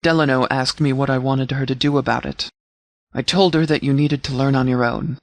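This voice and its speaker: An actor playing a stern but caring military commander in a video game, speaking in a measured, formal, authoritative way. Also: male voice, medium pitch, fairly even intonation, gravelly, close to an everyday voice. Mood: grave, reflective. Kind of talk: scripted game dialogue.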